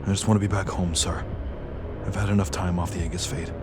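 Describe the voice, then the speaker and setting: Blunt soldier, music behind. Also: male voice, low voice, flat delivery, fast. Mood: subdued. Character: battle-hardened male trooper sergeant, blunt and resentful. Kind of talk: audio drama acting.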